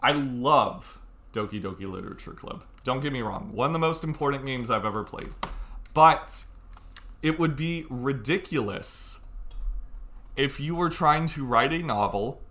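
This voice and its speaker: A man's voice: mid-range voice, bright, forceful tone. A young man giving an informal video tutorial, talking casually and bluntly, urging the listener on with emphasis. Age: young adult. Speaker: tutorial presenter.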